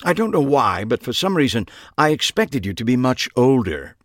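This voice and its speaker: Man putting on an affected British gentleman journalist. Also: mid-range voice, big pitch swings, normal speed, no room sound, clean studio sound, pitched above the performer's own voice, close to an everyday voice. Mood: curious. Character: eccentric tall British journalist, curious and faintly disdainful.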